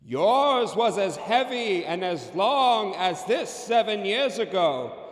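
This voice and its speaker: A sorrowful, stern male phantom from a radio play. He speaks high and slowly, wailing each solemn phrase, drenched in echo. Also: very animated, exaggerated voice. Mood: mournful, solemn. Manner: slow, solemn, wailing declamation. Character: mournful, stern male ghost of a dead business partner. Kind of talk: old-time radio drama acting. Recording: cavernous echo and long reverb.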